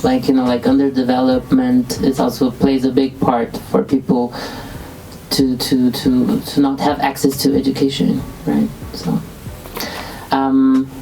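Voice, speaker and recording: Very low-voiced, slow woman interviewer. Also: little pitch movement, mellow, distant mic, faint music behind, poor audio. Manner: hesitant, many fillers and restarts. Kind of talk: live interview questions.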